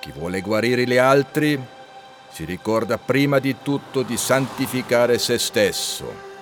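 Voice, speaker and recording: A solemn bronze saint played by a man speaks in a radio drama. He delivers pious maxims very slowly and sententiously, with an irritable streak. Faint music sits behind his voice. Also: mid-range voice, animated, natural voice. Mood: solemn, preaching. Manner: slow, sententious pronouncements. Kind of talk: audio drama acting.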